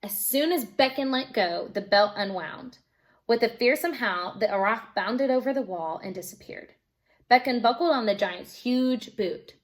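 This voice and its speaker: A woman teacher reading a children's storybook aloud in an expressive narrating style. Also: a little high, a little slow.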